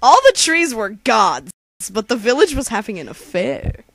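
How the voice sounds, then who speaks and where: A woman's voice: on the high side, big pitch swings, normal speed, creaky, fairly bright tone, dry recording. A woman co-narrating a fan-made comedy spoof, telling the story in a slangy, mock-dramatic way. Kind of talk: comic storytelling narration of an abridged game parody.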